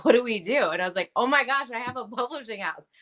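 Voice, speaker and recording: A woman who is a publisher and coach, speaking as a guest on a casual podcast in an energetic, earnest way and laughing often. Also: fairly high pitch, fast talker. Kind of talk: casual interview chat.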